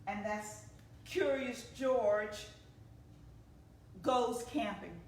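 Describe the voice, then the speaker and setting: A woman reading a children's story aloud at a library story time, expressive and deliberate. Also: a little high, slight background noise. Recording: echoey room, distant from the mic.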